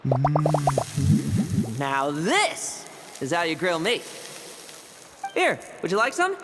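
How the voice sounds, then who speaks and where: An actor voicing a young knight in an anime game, teasing and theatrical, with a slyly polite, playfully menacing temper. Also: male voice, fairly high pitch, lively intonation, drawn-out words, a bit bright, long reverb tail, faint music behind, full character voice. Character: young knight, smooth, playfully menacing, self-deprecating trickster.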